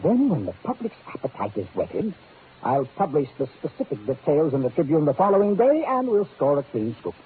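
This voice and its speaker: A man's voice: fairly high pitch, huge pitch swings, fairly quick. Pompous crusading newsman, big swooping delivery. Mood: pompous, urgent. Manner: pompous, oratorical. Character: self-important crusading newspaper man, theatrical, fearless boaster. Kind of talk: 1950s radio drama acting. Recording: tinny, narrow old radio recording, faint noise.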